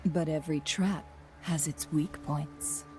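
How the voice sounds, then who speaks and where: A woman voicing a composed, knowledgeable young guide in a fantasy video game, speaking in a measured, formal, thoughtful way. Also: sits low, fairly even intonation, a little mellow, faint music behind. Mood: calm, resolute. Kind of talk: scripted video game voice acting.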